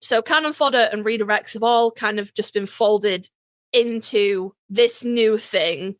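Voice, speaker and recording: A woman's voice: medium pitch, unhurried, dry recording. A woman co-hosting a podcast in casual chat, speaking in an emphatic, informal and playfully blunt way. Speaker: podcast co-host.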